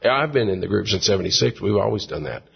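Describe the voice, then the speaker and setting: A man's voice: lowish voice, expressive, quick pace, creaky, breathy edge. A middle-aged man who sings in a gospel group, chatting in an interview with jokey asides. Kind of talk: casual interview conversation. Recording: slightly dull lo-fi recording.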